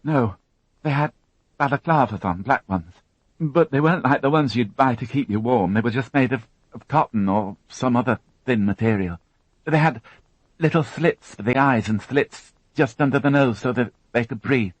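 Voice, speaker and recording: A man voicing a frightened young woman who witnessed a crime, tearful and halting, repeating her words as she talks. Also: medium pitch, no room sound, muffled recording, voice pushed up, close to an everyday voice. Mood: shaken.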